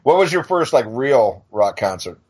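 A man's voice: mid-range voice, very animated, moderate pace, a little mellow, breathy edge. A man in his forties talking casually as a podcast guest, wry and rambling, with some swearing. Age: 40s. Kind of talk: casual chat.

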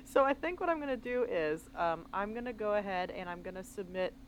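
A woman's voice: medium pitch, expressive, fairly quick, muffled recording, slight background noise. A woman co-hosting a podcast in casual chat, swearing a lot and laughing often. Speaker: podcast co-host.

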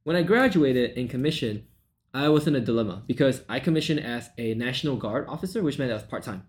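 A man in his thirties, originally from Malaysia, speaking as a podcast guest at a middle pitch. He tells his story in long, run-on stretches, full of fillers and quick asides. The tone is conversational. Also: animated, darkish tone. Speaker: sales coach guest. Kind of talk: podcast interview.

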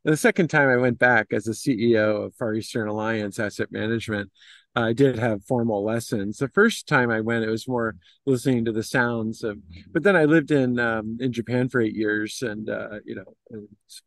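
A man's voice: medium pitch, moderate pace, a bit bright, no room sound. Middle-aged man, dry close sound, meandering answers. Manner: hesitant, meandering phrasing with many you-knows. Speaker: interview guest, investment firm executive. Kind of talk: informal business interview answers.

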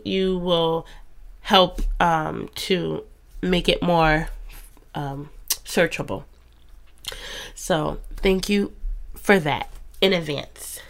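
A woman in her thirties hosts a faith podcast, stuffy and congested from a cold. She talks slowly and unhurriedly, in a chatty, encouraging tone. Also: fairly low pitch, vocal fry. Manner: warm, chatty and encouraging, with soft laughs. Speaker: podcast host. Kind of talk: casual faith podcast monologue.

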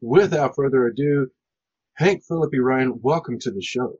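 A man's voice: medium pitch, moderate pace, a little mellow, slightly rough, highs cut off. A man hosting a podcast in a chatty, enthusiastic way. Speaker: podcast host.

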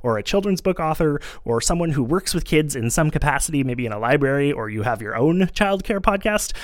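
Relaxed man from Canada, dry sound. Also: a little high, fairly quick, dry recording. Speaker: podcast co-host. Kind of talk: casual podcast chat.

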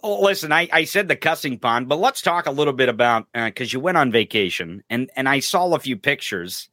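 A man's voice: medium pitch, lively intonation, a little fast, sharp tone, no room sound, clean studio sound. A man hosting a podcast, chatting and joking casually. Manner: joking, upbeat. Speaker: podcast host.

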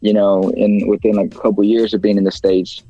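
Low, mellow-voiced young man rambling. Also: level tone, brisk, lo-fi sound, soft background music. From China. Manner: rambling, many fillers and restarts. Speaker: guest rapper. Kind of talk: casual interview talk.

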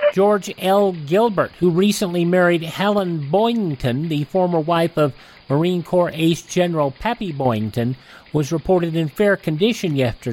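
A man's voice: a little high, a little mellow, poor audio. A man hosting a radio show, reading scripted news and programme introductions. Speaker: radio host.

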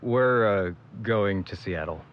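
A man's voice: on the low side, slow. A man playing a sardonic, skeptical young van driver in an audio drama, grumbling dryly on a muffled, faintly noisy recording. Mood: awkward. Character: sardonic young male van driver, reluctant travel companion.

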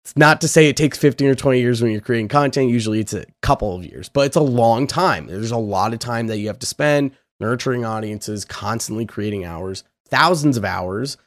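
Raspy man hosting a podcast. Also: mid-range voice, a little fast, hardly any pauses, no echo. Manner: energetic, loose, swears casually. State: hoarse from yelling. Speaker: podcast host. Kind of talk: casual podcast chat.

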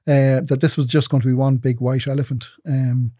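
A man in his fifties, from England, answers at length in an interview. His voice is warm and dark, recorded close with no room sound. He explains things in an articulate, measured way. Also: medium pitch, little pitch movement, dry recording. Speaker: broadcasting executive guest.

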